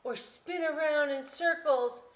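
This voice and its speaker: A woman voicing an expressive young girl in a children's picture-book read-aloud, with exaggerated, emphatic delivery. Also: sits high, measured pace, pitched above the performer's own voice. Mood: eager, insistent. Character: expressive young girl, bored, eager, exasperated. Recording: roomy indoor echo.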